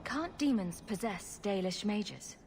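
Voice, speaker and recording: An actress playing a wry, sarcastic human adventurer in a video game, with a dry, self-assured way of talking. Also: female voice, medium pitch, lively intonation, unhurried, a little mellow, a little hiss or hum. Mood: frank.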